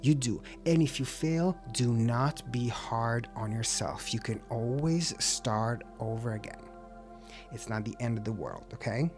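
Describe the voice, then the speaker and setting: A man's voice: medium pitch, measured pace, music in the background. A man talking openly to his camera in a casual vlog, reflective and encouraging, with an occasional stutter and restarts. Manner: open, reflective, self-encouraging. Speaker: vlogger.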